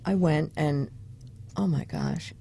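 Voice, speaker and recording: An older woman from Long Island, New York, a guest telling her life story casually and a little haltingly. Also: deep voice, wildly swooping pitch, gravelly, warm tone, a little hiss or hum. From Long Island, New York, United States. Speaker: interview guest, women's activist.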